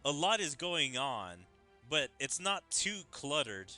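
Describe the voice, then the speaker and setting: Bright, animated man doing game commentary. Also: on the high side, slow pace, faint music behind. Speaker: gaming content creator. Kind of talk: game story commentary and dialogue read-aloud.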